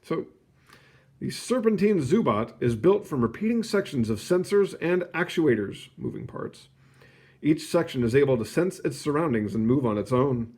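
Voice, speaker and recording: A man reading a children's nonfiction book aloud, phrasing it clearly and adding short casual asides. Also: medium pitch, lively intonation, moderate pace, a little mellow. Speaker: read-aloud host.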